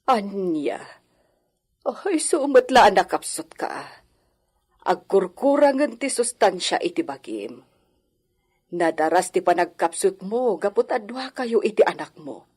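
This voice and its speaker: A woman's voice: mid-range voice, big pitch swings, unhurried, pauses often, a little mellow, husky. An actress playing a caring, bossy village midwife in a radio drama, admonishing and motherly, with a Filipino accent. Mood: scolding concern. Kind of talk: Ilocano radio drama acting.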